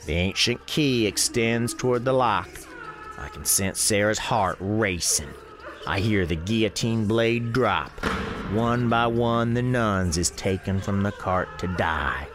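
A man playing a grave, folksy storekeeper, narrating an eerie radio drama in a measured, ominous way. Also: lowish voice, noisy background. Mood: tense, grave.

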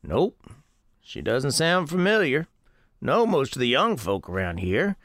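A man performs as an old American country storekeeper in a radio drama. He tells his tale in a folksy way, watchful and gentle, with a lively range of pitch and a close, dry sound. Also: dry recording. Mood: calm, observant. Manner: folksy, unhurried storytelling. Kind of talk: first-person scripted storytelling in radio drama.

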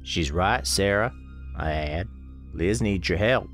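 Male narrator, low register, folksy gentle storekeeper telling a ghostly tale over music. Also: slow. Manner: folksy, colloquial Southern-style phrasing. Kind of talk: first-person storytelling in a radio play.

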